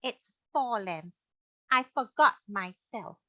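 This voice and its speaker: A woman voicing a cheeky young female lamb puppet with big glasses, talking in a playful, quick, squeaky way over a video call. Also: animated, bright, forceful tone, dry recording, exaggerated voice. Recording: dull lo-fi video call audio.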